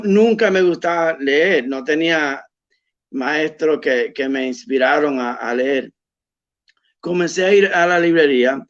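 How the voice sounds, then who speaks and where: Man, slow and hesitant, fairly high voice, dull lo-fi recording. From United States. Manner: hesitant, many fillers and restarts. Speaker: imam telling his conversion story. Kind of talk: personal storytelling. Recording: dull lo-fi sound.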